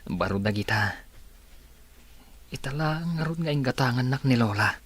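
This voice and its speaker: A man voicing a sick young grandson in a radio drama, soft and apologetic. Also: medium pitch, expressive, moderate pace, breathy edge. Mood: wistful. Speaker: patient character. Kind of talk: Ilocano radio drama acting.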